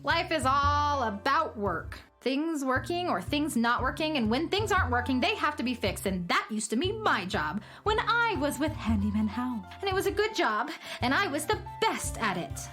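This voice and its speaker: An actress playing a brash, boastful, excitable young female space adventurer in a children's sci-fi radio comedy. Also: high-pitched, normal speed, few pauses, soft background music, full character voice. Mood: boastful, defensive.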